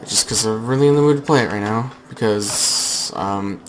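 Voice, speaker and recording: Slightly hoarse young man, rambling gamer. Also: on the low side, normal speed, slight background noise. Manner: rambling, filler-heavy chatter with frequent restarts. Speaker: gaming channel host. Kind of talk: casual gameplay commentary. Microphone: headset.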